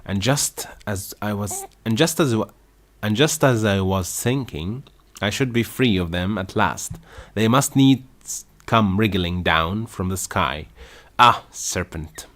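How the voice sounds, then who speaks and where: A man's voice: lowish voice, unhurried, fairly bright tone, pitched above the performer's own voice. A man voicing a fretful, shrieking female pigeon who guards her eggs, agitated and stumbling over repeated lines in an amateur storybook reading. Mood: shrill.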